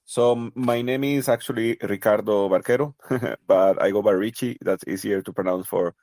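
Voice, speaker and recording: A man with a Costa Rican Spanish accent talks in a fairly low voice, recorded dry and close with almost no room sound. He explains things thoughtfully and casually, pausing on fillers and restarting his sentences. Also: a little mellow, dry recording. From Costa Rica. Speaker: guest, crypto project representative. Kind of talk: casual interview conversation.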